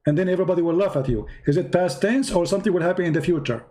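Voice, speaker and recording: A high-voiced man whose first language is Arabic runs a heated debate. He repeats himself, interrupts and throws insults at his opponent. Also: rapid-fire, mellow. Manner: aggressive, insulting, repetitive, interrupting. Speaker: debate host.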